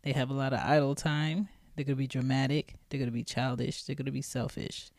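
Woman, 30s, podcast host, blunt and emphatic, profane, low-pitched, dry recording. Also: no room sound. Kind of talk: casual monologue.

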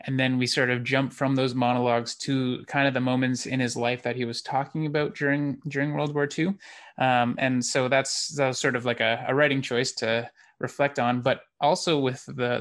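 Male interview guest, conversational and halting, level intonation with little swing. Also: mid-range voice, fairly bright tone. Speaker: interview guest, theatre producer and actor. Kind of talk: casual interview chat.

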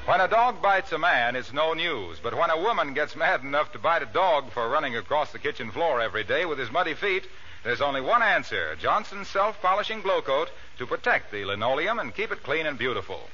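Very bright, animated vintage American radio announcer. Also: male voice, on the high side, a little fast. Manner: polished, salesmanlike. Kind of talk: 1940s radio announcing and sponsor pitch. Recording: dull, noisy vintage radio recording.

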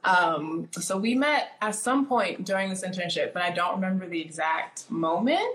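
A woman's voice: on the low side, lively intonation, moderate pace, a bit bright, roomy sound. A woman in her twenties, born in Nigeria and raised in the US, talking casually as an interview guest, with lots of "like" fillers. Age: 20s. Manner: chatty, many "like" fillers.

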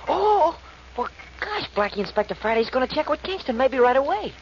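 An actor playing a dim, eager, loyal sidekick in a 1940s radio drama, with a naive, slangy way of talking, on a muffled old recording. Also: male voice, very high voice, very animated, a little hiss or hum. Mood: puzzled, eager. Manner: naive, slangy, slow on the uptake.

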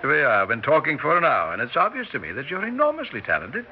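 An actor playing a smooth-talking, flattering theatrical con man, grandiose and persuasive, in a 1960s radio drama. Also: a little high, fast. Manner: grandiose, persuasive, falsely reluctant. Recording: faintly noisy, dull 1960s radio recording.

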